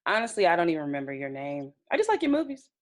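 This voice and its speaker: A woman's voice: fairly low pitch, big pitch swings, very fast. An actress playing a candid, wary young woman film fan in a comedy table read. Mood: gushing, blunt. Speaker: actor in a scripted table read. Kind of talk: comedy script read aloud. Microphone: video call.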